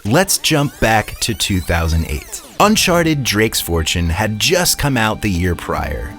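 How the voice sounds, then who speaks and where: A man narrates a scripted video essay in a fairly low voice. His pitch moves in lively swings as he hosts, with music playing underneath.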